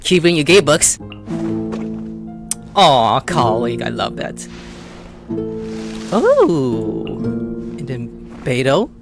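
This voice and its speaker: An excitable gaming YouTuber giving casual, slangy commentary, jumping between muttered asides and squeals while playfully talking to game characters. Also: drawn-out words, pauses often, over music. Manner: exclamatory, swings from muttered asides to high squeals.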